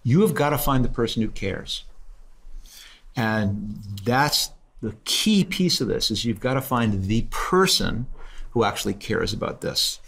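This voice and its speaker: A man's voice: medium pitch, expressive, measured pace, vocal fry, a bit bright, husky. A man in his sixties, a host, giving direct, encouraging business advice from a studio set. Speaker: host, mentor. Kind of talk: advice in casual chat. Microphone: studio mic on desk.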